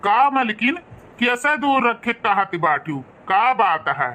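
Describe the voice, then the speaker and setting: A placating village husband from an Indian family cartoon, played by a man. He has a high, altered voice with a sharp, bright edge. His accent is Awadhi. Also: expressive, takes it slowly, exaggerated voice. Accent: Awadhi, northern India. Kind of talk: rural family cartoon dialogue.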